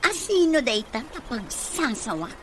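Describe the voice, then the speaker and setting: An actress with an Ilocano accent playing a nosy, suspicious office employee in a radio comedy drama. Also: female voice, high-pitched, big pitch swings, measured pace, background noise. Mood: irritated. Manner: suspicious, pointed.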